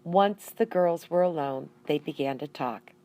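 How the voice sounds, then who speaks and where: A woman reading a children's storybook aloud in an expressive way, acting out the dialogue. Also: low-pitched, measured pace. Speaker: audiobook reader.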